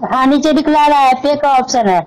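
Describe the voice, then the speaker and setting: A woman's voice: high voice, a little fast, darkish tone. A woman on a recorded phone call, replying briefly and hesitantly, stalling and echoing back what she is told. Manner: stalling, echoes back instructions. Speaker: person on the phone. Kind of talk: hesitant, short phone replies.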